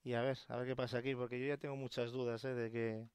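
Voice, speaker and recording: A man's voice: mid-range voice, flat delivery, quick pace, a little mellow, clear, resonant tone, dry recording. A man with an accent from Spain doing casual gameplay commentary. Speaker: gaming YouTuber. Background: game ambience.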